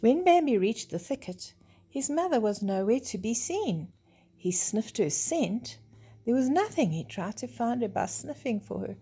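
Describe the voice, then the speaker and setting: Animated older woman reading a storybook. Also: a little high, a little slow, slightly rough. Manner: explains things simply to young listeners. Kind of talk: expressive children's storybook reading with chatty asides.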